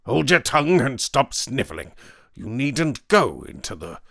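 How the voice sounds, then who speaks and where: A man doing a loud, gruff, overbearing scientist. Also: medium pitch, big pitch swings, a little slow, dry recording, studio quality, voice pushed up, full character voice. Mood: stern. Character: massive, loud-voiced, gruff male physicist.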